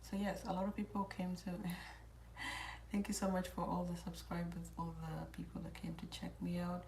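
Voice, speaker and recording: A woman's voice: on the low side, completely flat delivery, moderate pace, vocal fry, a little mellow. A woman with an East African accent chatting informally on a livestream as she answers viewers' questions. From South Sudan, grew up in Kenya. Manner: informal, switches between English and Swahili. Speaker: host of a hair channel. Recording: roomy echo, faint background noise.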